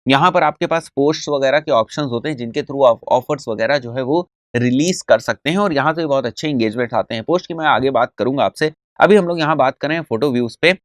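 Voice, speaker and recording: Man, Indian accent, conversational tutorial instructor, didactic, mid-pitched, close dry recording. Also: quick pace, hardly any pauses, dry recording. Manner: didactic, often checks with tags like "okay" and "right"; mixes English terms and phrases into speech. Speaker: digital marketing instructor.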